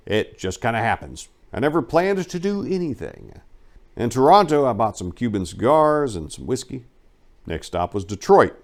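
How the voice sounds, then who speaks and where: A man with a fairly low voice narrates a memoir in the first person. He reads from a script as an audiobook performer would, in a dramatic reading style. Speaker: audiobook reader.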